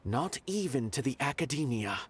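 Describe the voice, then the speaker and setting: An actor playing a proud, eccentric art connoisseur in an anime game, speaking in a lofty, eloquent, theatrical way. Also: male voice, mid-range voice, big pitch swings, normal speed, a little hiss or hum, natural voice. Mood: earnest, grand.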